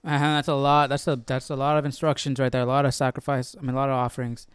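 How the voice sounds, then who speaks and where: A man's voice: mid-range voice, flat delivery, fast. A man hosting a Bible study livestream, thinking aloud in an informal, musing way and often repeating himself. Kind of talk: casual chat, thinking aloud.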